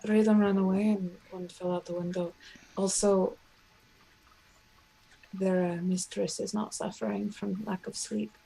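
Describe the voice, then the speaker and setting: A woman narrating her character's actions in a casual, halting way during a tabletop roleplaying game. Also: medium pitch, flat delivery, gravelly, mellow, breathy edge, poor audio.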